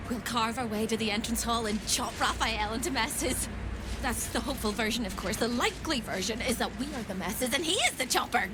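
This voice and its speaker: An actress playing a manic, long-tortured prisoner in a video game, babbling with theatrical, brittle cheer. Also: female voice, on the high side, lively intonation, fairly quick, close to an everyday voice. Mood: brittle, darkly cheerful. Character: manic, chirpy, long-tortured female prisoner clinging to optimism. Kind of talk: video game character acting. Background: background music.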